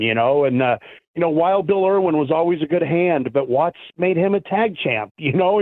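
A man's voice: on the high side, no room sound. A male guest reminiscing enthusiastically in casual chat, with a slight tickle in his throat. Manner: enthusiastic, reminiscing, many "you know" fillers. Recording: tinny phone line, dry.

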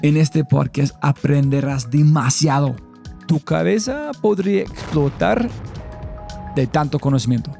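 A man's voice: fairly high pitch, measured pace, vocal fry, warm tone, soft background music. A man hosting a podcast, opening with an enthusiastic, emphatic intro and then interviewing a guest. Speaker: podcast host.

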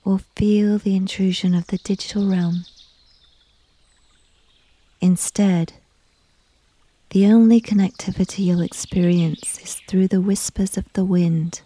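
A woman softly narrating a guided sleep visualization in a breathy, often near-whispered voice with a gentle, unhurried, soothing delivery. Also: mid-range voice, fairly even intonation. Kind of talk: slow scripted sleep visualization, soft and whispery.

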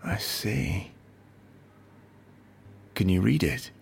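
Low, husky intelligence agent. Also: male voice, extremely slow, exaggerated voice. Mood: guarded, probing. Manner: curt, probing. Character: businesslike, guarded intelligence agent. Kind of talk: audio drama acting.

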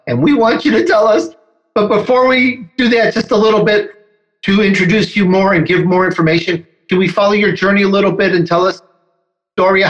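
A man's voice: high voice, dull audio. A man hosting his interview show, emphatic and eager as he builds up his guest. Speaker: podcast host.

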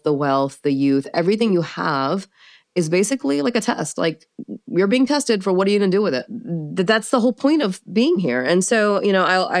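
A woman born in Pakistan and raised in the United States, telling stories in a casual interview, chatty and animated with lots of fillers. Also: deep voice, dry recording, studio quality. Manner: animated storyteller with frequent fillers and laughs. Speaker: interview guest.

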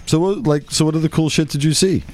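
A man's voice: a little high, fast, darkish tone, a little hiss or hum. A man co-hosting a podcast with casual banter, asking questions in a joking, irreverent way.

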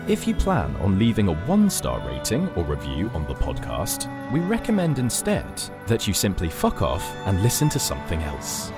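A man doing scripted, dryly comic narration for an audio fiction show, with a deadpan manner. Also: deep voice, moderate pace, hardly any pauses, music in the background. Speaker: narrator and credits reader.

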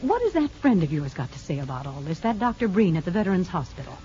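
An actress in a 1950s radio drama playing a firm, sensible parole officer who speaks in a measured, advising way. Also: female voice, lowish voice, big pitch swings, dark tone. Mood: firm, cautioning. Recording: noisy, dull 1950s radio recording.

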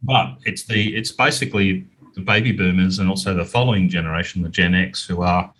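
A man's voice: low voice, fairly even intonation, a little fast, a bit bright, slightly rough. A mature man with an Australian accent, explaining things conversationally as an interview guest in a practical way. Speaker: interview guest, business owner and author.

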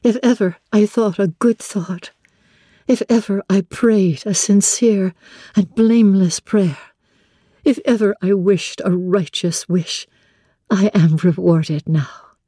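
A woman voicing a devoted, earnest young woman in love, softly and tenderly, in a dramatic reading of classic literature. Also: medium pitch, slow pace, no room sound, clean studio sound, pitched above the performer's own voice. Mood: tender, solemn. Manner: soft, breathy, tender and respectful.

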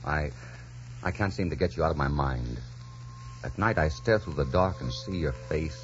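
An actor playing a bold, romantic adventurer captain in a theatrical 1940s radio drama style. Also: male voice, rumbling low, normal speed, slight background noise. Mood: ardent, romantic. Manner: theatrical, ardent, declamatory. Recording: dull 1940s radio recording, music behind.

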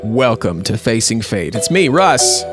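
A man's voice: lowish voice, very animated, measured pace, loud music behind the voice. A man hosting a podcast with casual, playfully dramatic banter. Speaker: podcast host. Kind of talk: casual comic banter and introduction.

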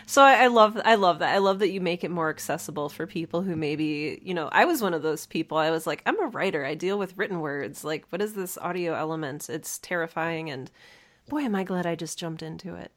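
A woman hosting a podcast interview in an informal, enthusiastic and expressive way. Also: fairly low pitch, fairly quick. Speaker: podcast host. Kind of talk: casual chat, interviewing.

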